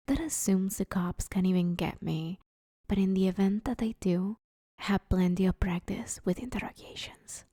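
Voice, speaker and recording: A woman's voice: mid-range voice, measured pace, dark tone, no echo, studio quality, close to an everyday voice. A woman playing a broke, sheepish but scheming villain in a conversational comedy audio roleplay, wheedling her neighbor in an awkward, hesitant way. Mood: reassuring, conspiratorial.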